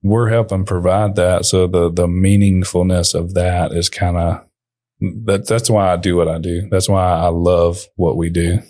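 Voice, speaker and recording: Young man, business-owner guest: low, flat, soft-spoken, halting; dry close sound. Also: no room sound. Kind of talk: podcast interview.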